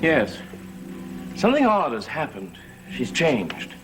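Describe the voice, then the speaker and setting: An actor playing a grave, earnest man investigating dark powers in a gothic soap opera, speaking deliberately. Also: mid-range voice, wildly swooping pitch, a little hiss or hum, heavily acted, low-quality recording. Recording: vintage TV soundtrack with music.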